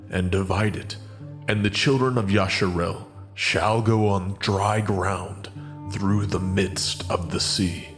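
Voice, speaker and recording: A man voicing God in a dramatized scripture reading, speaking as a slow, solemn and commanding deity who declares each phrase. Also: lowish voice, natural voice.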